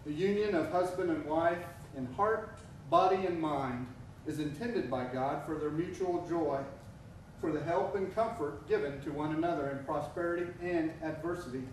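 A middle-aged man officiating a wedding, speaking in a measured, solemn way. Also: fairly high pitch, a little hiss or hum. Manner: measured, solemn liturgical delivery. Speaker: officiating minister. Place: church sanctuary. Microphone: far camera. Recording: echoey church from far off.

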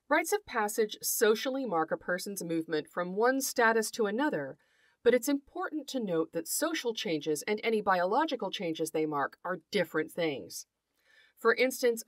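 A woman hosting an educational podcast, reading scripted narration in a measured, articulate and formal way. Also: medium pitch, no echo. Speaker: podcast host, folklore scholar.

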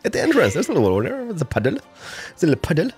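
A man's voice: a little high, huge pitch swings, racing, darkish tone, slightly rough, soft background music. A man with a Polish-Swedish accent, hosting a casual online chat while playing a game, joking and self-mocking. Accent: Polish-Swedish mix. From Poland. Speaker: host.

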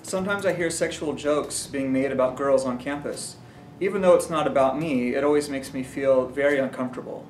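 A man reading a written account aloud, steady and earnest. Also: medium pitch, a little fast, echoey room, soft background music.

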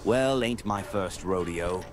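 A man's voice: low-pitched, slow, over loud music. A man voicing a laconic, unflappable gambler in a video game, with a drawl. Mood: cool, unfazed. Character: gruff, unflappable male gambler animal at a saloon table. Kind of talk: video game character barks.

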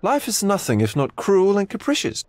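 An actor playing a languid, flamboyant art lover in a video game, speaking with arch theatrical drawl. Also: male voice, high-pitched, wildly swooping pitch, normal speed, darkish tone, no echo. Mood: arch amusement. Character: droll, languid, flamboyant male art aesthete. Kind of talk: video game cutscene acting.

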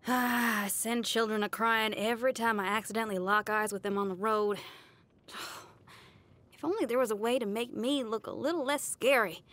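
A woman's voice: a little high, fairly even intonation, normal speed, a bit bright, lightly acted. A woman voicing a brash young female rock guitarist in a game, cocky and upbeat. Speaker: game character. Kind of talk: game voice lines.

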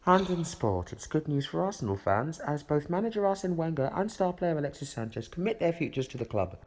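A man playing a brisk male radio sports newsreader. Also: on the high side, sing-song, darkish tone.

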